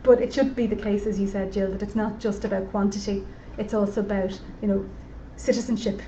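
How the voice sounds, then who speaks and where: A woman's voice: mid-range voice, fairly even intonation, a little fast, mellow, distant mic. Woman researcher, casual presenting tone, dull lo-fi sound with faint noise behind. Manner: conversational, with frequent "you know" fillers. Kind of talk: conference presentation. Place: conference room at a roundtable event.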